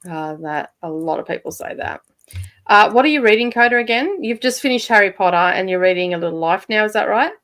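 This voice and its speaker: A woman's voice: mid-range voice, expressive, brisk, fairly bright tone. Chatty Michigan woman hosting a livestream. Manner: chatty, laughs often, many fillers. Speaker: livestream host. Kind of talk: casual chat with viewers.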